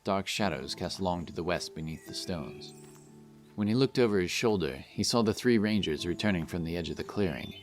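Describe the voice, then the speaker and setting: A man's voice: low voice, expressive, normal speed, soft background music. A man narrating a scripted fantasy story as the narrator of an audio drama.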